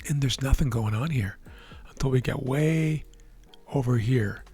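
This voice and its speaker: A man whose voice is husky and hoarse, as if worn down from talking. He walks through the market in a relaxed, explanatory way, at a middling pitch, with faint music in the background. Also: a little slow. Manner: relaxed, explanatory, checks in with "right?". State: hoarse, losing his voice. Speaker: trading educator. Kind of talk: live market commentary over a chart screen.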